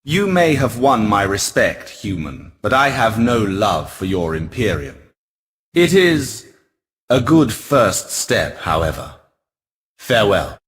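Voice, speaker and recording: An actor playing a proud, aloof alien elf prince in a video game, speaking in a measured, formal and haughty way. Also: male voice, fairly low pitch, sing-song, a bit bright, full character voice. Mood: guarded respect. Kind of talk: video game cutscene acting. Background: music.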